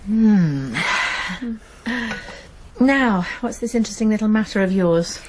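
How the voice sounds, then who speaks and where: An actress playing an inquisitive, sharp-minded college woman turned amateur sleuth in a radio drama, probing and thoughtful. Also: medium pitch, expressive, slow pace, a little hiss or hum. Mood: curious.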